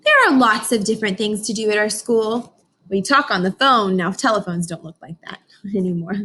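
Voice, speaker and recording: A woman teacher reading a picture book aloud to young children, expressive, often pausing to question her listeners directly. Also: mid-range voice, breathy edge. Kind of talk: informational read-aloud lesson for young children.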